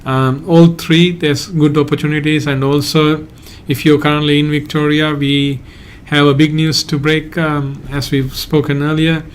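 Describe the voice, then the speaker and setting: A man from Sri Lanka, a migration agent hosting a live Q&A and answering viewer questions in a halting, hedging way. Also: fairly high pitch, flat delivery, a little hiss or hum. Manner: halting, hedges often, reads out viewer questions.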